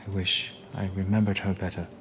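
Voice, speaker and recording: An actor playing a dutiful young samurai son, polite and earnest, in a video game drama. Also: male voice, low voice, flat delivery, a little fast, a little hiss or hum. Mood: wistful.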